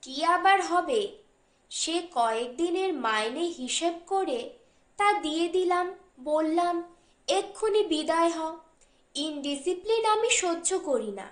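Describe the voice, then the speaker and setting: A haughty elder sister from an audiobook story, voiced by a woman reader. She is strict, sharp-tongued and dismissive, in a high, slow delivery. The room rings with echo. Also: expressive, exaggerated voice.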